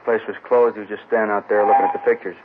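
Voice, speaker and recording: An actor as an offhand beat cop. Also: male voice, on the low side, little pitch movement, rapid-fire, close to an everyday voice. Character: casual uniformed police officer. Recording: tinny noisy old radio broadcast.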